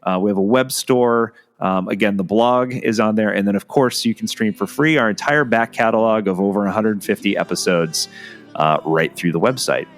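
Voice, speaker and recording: A man hosting a podcast, slightly hoarse from a cold, talking in a casual, rambling way with many fillers. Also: on the low side, normal speed, faint music behind. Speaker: podcast host. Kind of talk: casual solo podcast intro.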